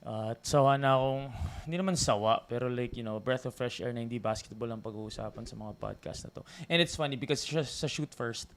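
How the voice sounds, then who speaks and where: A man's voice: mid-range voice, fast talker, few pauses. A Filipino man in his thirties hosts a casual podcast. He banters playfully and slips easily between English and Tagalog, with a light, teasing tone. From Philippines. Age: 30s. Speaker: podcast host. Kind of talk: casual chat.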